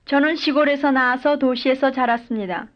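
A woman's voice: sits high, a little fast. A woman speaking full model sentences in a language drill, each one carefully and evenly delivered. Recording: dull lo-fi recording.

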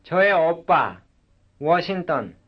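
A man reading short cue words for a language substitution drill, one at a time in a slow, measured way. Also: a little high, big pitch swings. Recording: dull lo-fi recording.

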